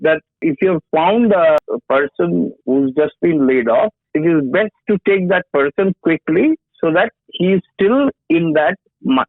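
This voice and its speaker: A man in his sixties, a guest with a background in HR, explaining slowly and haltingly during a phone interview. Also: a little high, expressive, dry recording. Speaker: HR industry guest. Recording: dry tinny phone line.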